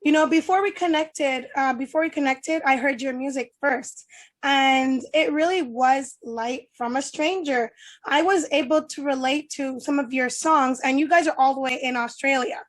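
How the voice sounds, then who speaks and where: A woman's voice: high-pitched, normal speed. A woman hosting an online interview over a video call, enthusiastic and effusive. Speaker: host.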